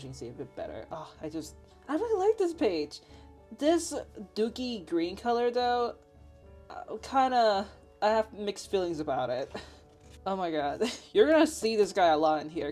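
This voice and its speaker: A young woman with a Finnish accent talks in a relaxed, rambling voice-over, bubbly and quick to giggle. Her voice sits a little low for a woman, and soft music plays faintly behind her. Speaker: artist showing her sketchbook. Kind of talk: casual voice-over chat. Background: faint background music.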